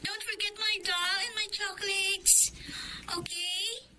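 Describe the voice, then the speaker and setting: A sweet little girl with a very high, slow voice. It is audio-drama acting. The recording has clear background noise. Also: steady tone. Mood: sweet, playful. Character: sweet little girl asking daddy for presents.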